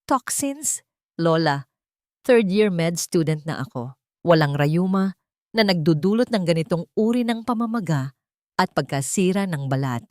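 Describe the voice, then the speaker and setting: A woman's voice: fairly low pitch, animated, measured pace, a little mellow, no echo, studio quality. Woman voicing a worried, firm young medical student granddaughter. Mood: firm, doubtful. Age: young adult. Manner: firm, probing, concerned.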